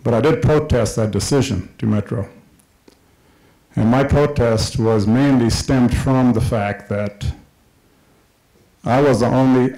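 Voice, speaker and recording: A man's voice: medium pitch, warm tone, rough recording. A middle-aged man from Kenya speaking at a public session, delivering an impassioned, emphatic speech that repeats its key points. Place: large hall with a screen, indoors. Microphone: handheld microphone.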